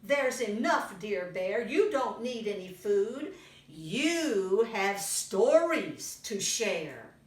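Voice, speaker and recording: A woman's voice: medium pitch, expressive, extremely slow, reverberant, distant mic. A woman voicing a kind little storybook mouse who soothes and reassures a friend. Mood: soothing.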